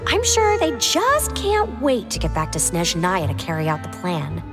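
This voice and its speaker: A woman's voice: on the low side, wildly swooping pitch, unhurried, exaggerated voice. A woman voicing an aloof, blunt, sardonic young woman in a video game. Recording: game music behind.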